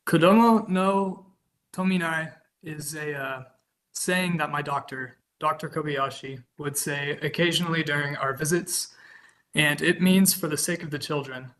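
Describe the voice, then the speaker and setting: A man's voice: fairly high pitch, a little slow, a bit bright. A man in his twenties from Kansas, earnestly reading a prepared statement as a witness over a video call. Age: 20s. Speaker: witness giving testimony. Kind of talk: prepared public testimony.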